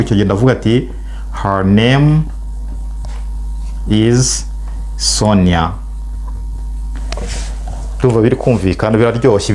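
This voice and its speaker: Rwandan man teaching English phrases slowly. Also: on the low side, a little mellow, slight background noise. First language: Kinyarwanda. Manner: slow drawn-out model phrases for repeating. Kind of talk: spoken English lesson in Kinyarwanda.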